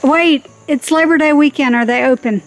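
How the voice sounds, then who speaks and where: A woman's voice: sits high, moderate pace, rough recording. A woman chatting casually with her husband outdoors, frank and teasing, her voice a little worn out. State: losing her voice. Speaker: co-host, the host's wife. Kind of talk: casual chat. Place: outdoors in a backyard.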